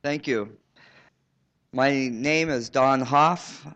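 A man from southeast Alaska giving earnest, halting testimony for his tribe at a public hearing. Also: medium pitch, fairly bright tone. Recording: dull lo-fi hearing recording.

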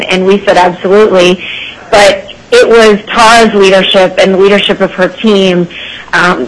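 A man's voice: sits high, fairly bright tone, poor audio. A man chairing a legislative hearing, earnestly introducing a witness with many "um" fillers.

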